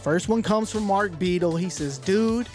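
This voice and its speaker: A man co-hosting a retro podcast, reading fan mail in a relaxed, slangy, joking way. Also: sits high, unhurried, music in the background. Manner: laid-back, slangy, laughs easily. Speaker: podcast co-host.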